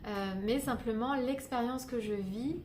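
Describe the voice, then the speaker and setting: A woman's voice: fairly high pitch, unhurried, a little mellow, a little hiss or hum. A woman from Paris, talking through her reflections in an unscripted vlog, with many hesitations and "euh" fillers. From Paris, France. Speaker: spiritual guidance vlogger. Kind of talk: unscripted reflective monologue to camera. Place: indoors in Paris during a thunderstorm. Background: faint storm ambience.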